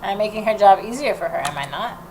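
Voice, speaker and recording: A woman hosting a gaming video, reading the story narration aloud and adding expressive reactions of her own. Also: medium pitch, fast. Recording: noticeable background noise.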